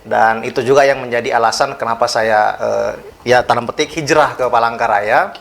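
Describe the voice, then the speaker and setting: Sex: man. Origin: South Sulawesi. Role: interview guest. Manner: polite, measured, pauses to search for words. Pitch: middle. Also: steady tone, creaky, fairly bright tone. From South Sulawesi, Indonesia. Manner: polite and measured, many hesitant fillers. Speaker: guest, youth organisation official. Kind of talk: interview talk.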